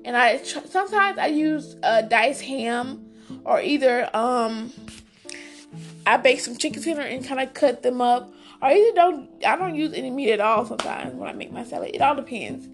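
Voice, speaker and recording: Raspy-voiced woman hosting a chatty podcast. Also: high-pitched, expressive, normal speed, faint music behind. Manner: chatty and enthusiastic, addresses listeners as "guys". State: hoarse. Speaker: podcast host. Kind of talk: casual solo podcast chat.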